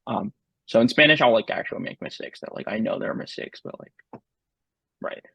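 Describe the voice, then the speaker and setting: Man guest, gravelly husky voice, dry muffled sound, halting speech with lots of fillers. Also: on the low side, animated, bright, forceful tone, no room sound. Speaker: interview guest. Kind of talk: casual conversation.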